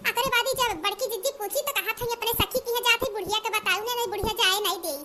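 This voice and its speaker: Super high squeaky cartoon village bahu, awadhi accent, naggy and gossipy, noisy background. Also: female voice, very fast. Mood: tattling. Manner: exclamatory, nagging, conspiratorial. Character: scheming, gossiping young village daughter-in-law. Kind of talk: cartoon comedy dialogue. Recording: noticeable background noise.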